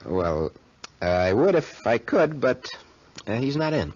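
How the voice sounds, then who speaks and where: An actor in a 1940s radio drama playing a brash, smooth-talking young schemer with slangy, cocky tough-guy talk. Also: mid-range voice, sing-song, measured pace, a little mellow. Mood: slyly charming. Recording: muffled 1940s radio recording.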